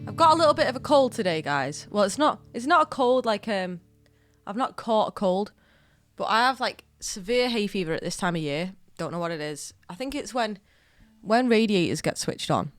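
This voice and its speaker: A woman's voice: mid-range voice, expressive, moderate pace, no room sound. A woman musician hosting a live stream, chatting casually with viewers and greeting them by name, her nose a little stuffed up, on a studio dynamic mic. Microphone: studio dynamic microphone. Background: guitar playing.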